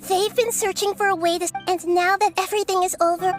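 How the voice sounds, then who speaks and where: A childlike game heroine with a very high, girlish voice. She sounds earnest and gentle, yet resolute, with music playing underneath. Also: steady tone, quick pace. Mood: firm. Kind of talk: video game voice acting.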